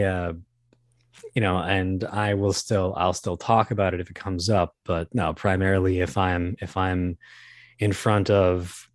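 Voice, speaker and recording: A man with a low voice, recorded close and dry, talks casually as an interview guest. He is halting and modest about himself, often restarting as he searches for words. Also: no echo. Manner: hesitant, self-deprecating, halting. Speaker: podcast guest, voice performer.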